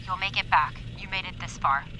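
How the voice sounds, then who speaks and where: A woman's voice: lowish voice, a little fast, sharp tone, a little hiss or hum, poor audio. An actress playing an anxious, insistent woman medical researcher in an apocalyptic audio drama, speaking with urgent emotion. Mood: relieved.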